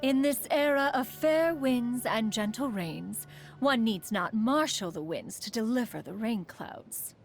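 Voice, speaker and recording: A woman's voice: on the high side, animated, unhurried, soft background music. A woman playing a proud, aloof immortal adept who secretly cares, speaking in formal, archaic phrases as a video game character. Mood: serene, genteel. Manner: haughty, archaic formal speech, refers to self as "one".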